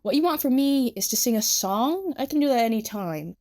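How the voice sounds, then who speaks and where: Brief: storybook would-be star, young man acted by a woman, theatrical, emphatic, swooping pitch, easily flustered. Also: expressive, brisk, a little mellow. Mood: indignant. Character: dramatic, vain young male aspiring star, easily flustered.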